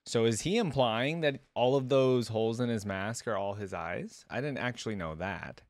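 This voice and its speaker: A man's voice: mid-range voice, moderate pace, dry recording. A man hosting a reaction video in casual commentary, often repeating words and quoting back what was said.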